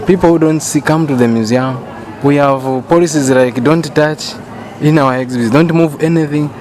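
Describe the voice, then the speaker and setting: A Zambian man gives a testimonial in a mid-pitched voice. He speaks with emphatic repetition, and there is a clear murmur of people around him outdoors. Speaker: museum professional giving a testimonial.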